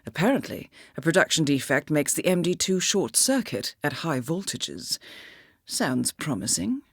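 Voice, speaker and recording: A cool intelligence handler briefing an assassin in a spy game. Her voice moves with expressive, varied intonation while staying composed and clipped, with wry understatement. The sound is close and dry. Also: deep voice, moderate pace, creaky, slightly rough, dry recording, exaggerated voice. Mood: wry, intrigued. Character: poised, dry-witted female intelligence handler guiding an assassin. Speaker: mission handler. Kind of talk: scripted game briefing dialogue.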